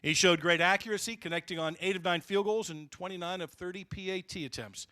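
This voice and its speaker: A man's voice: sits high, steady tone, fairly quick, bright, forceful tone, dry recording, clean studio sound. A man emceeing a banquet, introducing honorees in a polished announcer manner.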